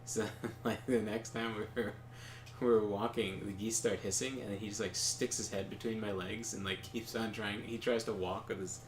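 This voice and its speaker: Low-voiced man chatting in a roomy space. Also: steady tone, normal speed. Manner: rambling anecdotes with many fillers and laughs. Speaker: co-host of a game stream. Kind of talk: casual chat while gaming. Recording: roomy echo, faint background noise.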